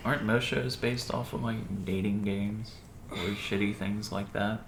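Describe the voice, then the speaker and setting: A young woman with a strikingly low voice co-hosts a casual podcast. She banters in a dry, teasing way. The room echoes and there is faint noise behind her. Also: level tone, unhurried. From southern United States. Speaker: podcast co-host. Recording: roomy echo, faint background noise.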